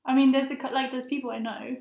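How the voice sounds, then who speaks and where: A young woman in her twenties with a high, fairly flat voice and a gravelly edge. She talks in a hesitant, thoughtful way and stops often to search for words. The recording has a roomy echo. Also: quick pace. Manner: hesitant, thoughtful, many fillers. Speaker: guest, master's student. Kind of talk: casual conversational interview.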